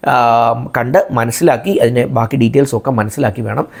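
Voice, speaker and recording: Indian guy with malayalam accent doing an explainer video, medium pitch, repeats the important bits. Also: fairly quick, vocal fry, a little mellow. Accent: Malayalam-accented English. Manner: explanatory, repeats and rephrases key points. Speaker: video channel host. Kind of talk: informational job-vacancy explainer to viewers.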